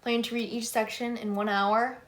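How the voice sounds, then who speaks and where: Young woman with a higher-pitched voice. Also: little pitch movement, moderate pace. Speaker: book vlogger. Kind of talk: casual chat to camera about books.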